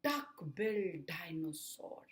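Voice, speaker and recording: A woman voicing fierce, jeering young tyrannosaurus sisters in a storybook, with shouted, chanting taunts. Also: lowish voice, sing-song, takes it slowly. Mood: war chanting.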